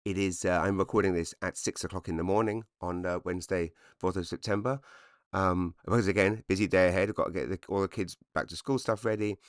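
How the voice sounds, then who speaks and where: A man in his forties with a slightly croaky voice, rambling self-deprecatingly through a comedic solo podcast monologue. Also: sits low, fairly even intonation, a little fast, no room sound, clean studio sound. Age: 40s. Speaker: podcast host, stand-up comedian.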